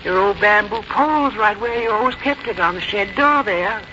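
A woman's voice: medium pitch, normal speed, natural voice. An actress playing a fussy, fond but bossy older American farm mother in a 1950s radio drama, with homespun, matter-of-fact phrasing. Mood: homely, bossy. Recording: muffled, noisy old radio broadcast recording.

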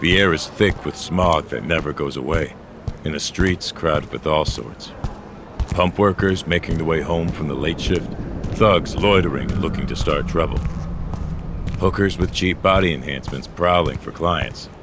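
A very deep-voiced, bitter old loner who works as a courier in a grim sci-fi audio drama. He sounds brooding and world-weary, with a dry sardonic edge. Light vehicle and ambient noise sits behind him. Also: moderate pace. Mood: grim, hard-boiled. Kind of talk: first-person noir audio drama acting.

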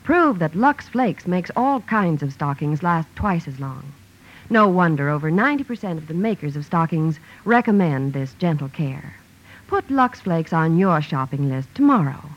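A woman reading a scripted 1950s radio advertisement with brisk, persuasive sales delivery. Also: low voice, very animated. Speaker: commercial announcer. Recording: dull lo-fi vintage radio.

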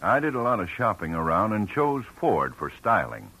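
A man's voice: fairly low pitch, very animated, normal speed, pushed and bright, slightly rough, full character voice. A man giving an enthusiastic, folksy endorsement in a 1950 radio commercial. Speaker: testimonial speaker in a car ad. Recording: old radio broadcast.

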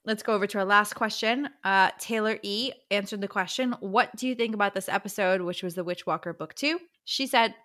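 A woman's voice: medium pitch, fairly quick, few pauses, pushed and bright. A woman co-hosting a book podcast, chatting casually and reading out answers in an enthusiastic, playful way.

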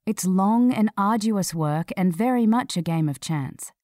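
A woman narrating a scripted feature article in a measured, clearly read delivery. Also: mid-range voice, expressive, darkish tone, no echo, clean studio sound.

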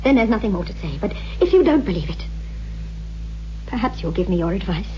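An actress playing a distraught, obsessive widow in a 1960s radio horror drama, intense and insistent. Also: female voice, mid-range voice, very animated, fairly quick, mellow, slight background noise, natural voice. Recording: dull old radio recording, faint music.

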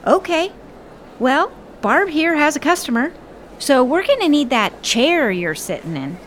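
A woman's voice: sits high, big pitch swings, unhurried, a bit bright, heavily acted. A woman acting in an audio drama as a polite, solicitous club volunteer. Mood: concerned, polite. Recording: clear background noise.

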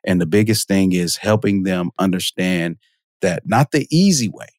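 A man guesting on a podcast, casually telling stories about his career, laughing often and jumping up in pitch for quoted reactions. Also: moderate pace, no room sound, clean studio sound. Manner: lively storyteller, jumps high for quoted reactions, laughs often. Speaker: podcast guest, marketing automation expert. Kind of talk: casual interview storytelling.

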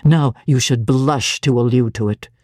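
A woman voicing a stern, cold male clergyman who speaks in a low, measured and severe way. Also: mellow, no echo, clean studio sound. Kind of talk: dramatic reading of a classic novel.

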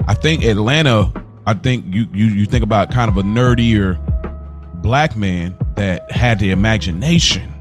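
A man with a fairly low voice talks loosely on a podcast, working his thoughts out as he goes and often restarting mid-sentence. Faint music sits under the conversation. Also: moderate pace. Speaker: podcast co-host. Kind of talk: casual chat.

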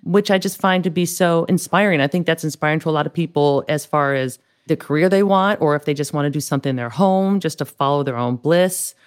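A woman host with a notably low voice, running a podcast interview. She is warm and encouraging and openly admires her guests. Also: brisk, hardly any pauses. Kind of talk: casual interview.

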